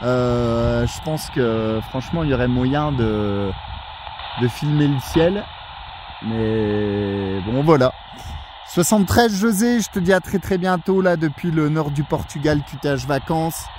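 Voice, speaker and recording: A man's voice: medium pitch, expressive, a little slow, background noise. A man chatting as an amateur radio operator outdoors on a mountain at night, friendly and chatty with polite sign-offs, into a handheld radio mic. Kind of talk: casual radio chat.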